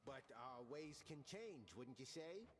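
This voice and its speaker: Fantasy game male: courtly persuader, medium pitch, cavernous reverb. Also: very animated, takes it slowly. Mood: probing, persuasive. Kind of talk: video game voice acting. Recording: distant game audio, long reverb, noisy.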